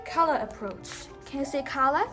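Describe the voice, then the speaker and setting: A woman reading a storybook aloud to a child in an expressive, instructional way, pausing on words to be repeated. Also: high-pitched, over music. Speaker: storybook read-aloud host. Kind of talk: children's read-aloud narration with call-and-response prompts.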